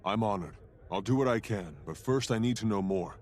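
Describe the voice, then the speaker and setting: An actor playing a dutiful, resolute young Norn hero in a fantasy video game. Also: male voice, fairly low pitch, expressive, fairly quick, a little mellow. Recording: game audio with music behind.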